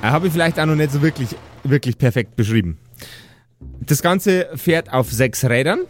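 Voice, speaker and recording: Higher-voiced man as improvising game master. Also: lively intonation, normal speed, soft background music. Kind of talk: improvised, halting scene description with casual table talk.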